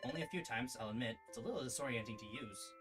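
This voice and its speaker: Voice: mid-range voice, very fast, close to an everyday voice. A streamer voicing a modest, reserved human spy from a visual novel, understated, with music behind. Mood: matter-of-fact. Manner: understated, self-effacing. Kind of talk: visual novel character read aloud. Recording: music in the background.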